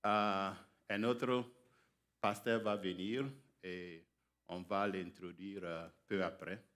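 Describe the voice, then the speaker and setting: Slow, low-voiced preacher. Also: male voice, fairly even intonation, fairly bright tone. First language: English. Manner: halting, careful beginner's French. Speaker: guest preacher. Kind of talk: sermon introduction. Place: church sanctuary.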